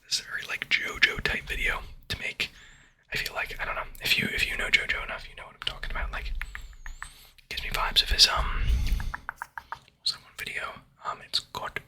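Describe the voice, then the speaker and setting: Whispering male ASMR creator, bright and breathy. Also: fast. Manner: repeats short phrases over and over. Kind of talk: whispered ASMR, casual and rambling to viewers.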